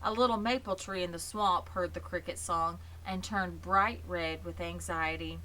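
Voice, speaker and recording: A woman narrating a children's story in an expressive, measured way. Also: fairly low pitch, low-quality recording. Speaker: audiobook reader.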